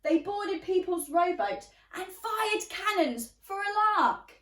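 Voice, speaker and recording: A woman's voice: very high voice, animated, slow, distant mic, a little hiss or hum, pitched above the performer's own voice, exaggerated voice. A woman voicing indignant storybook townsfolk, dramatic, scandalised and emphatic as they complain. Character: indignant townsfolk complaining about pirate neighbours. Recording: roomy, echoey, away from the mic.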